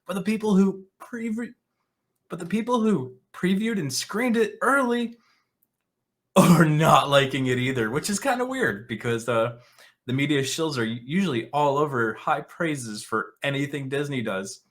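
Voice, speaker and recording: A man's voice: fairly high pitch, animated, measured pace. A man hosting an online commentary show, reading articles aloud and reacting in a blunt, scornful, sarcastic and profane way.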